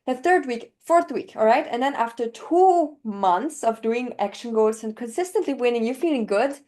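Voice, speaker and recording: Mellow-voiced young woman explaining methods. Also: fairly high pitch, expressive, normal speed. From Northern Italy. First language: German. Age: 20s. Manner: enthusiastic, explanatory, walks through steps with examples. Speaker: language coach. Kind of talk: interview conversation.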